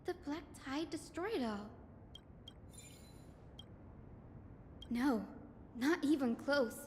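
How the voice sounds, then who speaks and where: A woman voicing an earnest, expressive young female student in a video game. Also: sits high, normal speed, a little hiss or hum. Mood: worried, realizing. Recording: reverberant, loud game music behind. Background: game music.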